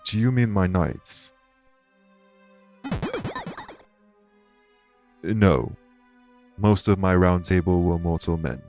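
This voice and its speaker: A man playing a courteous, dour immortal king in an audio roleplay, speaking in a formal, measured way. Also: low-pitched, a little mellow, soft background music. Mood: somber, dour. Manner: formal, measured, gravely polite. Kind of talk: immersive audio roleplay acting addressed to listener.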